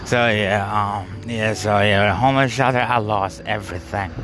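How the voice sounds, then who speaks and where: A man's voice: on the low side, steady tone, moderate pace, background noise. A man telling a rambling personal story, full of fillers, restarts and bursts of laughter at himself. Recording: music playing behind.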